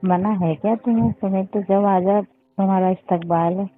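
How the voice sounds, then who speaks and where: A woman's voice: mid-range voice, fairly even intonation, moderate pace. A woman chatting casually and intimately over a phone call. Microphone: phone call recording. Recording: tinny phone line, music behind.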